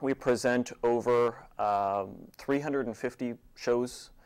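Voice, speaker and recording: Male American interview guest, theater director, medium pitch, explanatory conversational delivery. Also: slow pace, a little mellow, clear, resonant tone. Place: TV studio.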